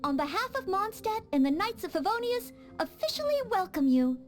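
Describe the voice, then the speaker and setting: A woman's voice: very high-pitched, big pitch swings, brisk, faint music behind. A woman voicing a polite, earnest young maid knight in a game, courteous and eager to serve.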